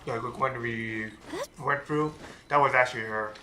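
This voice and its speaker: Bright-voiced male game streamer. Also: mid-range voice, measured pace, slight background noise. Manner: rambling, with many fillers and restarts. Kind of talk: casual livestream commentary. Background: game music.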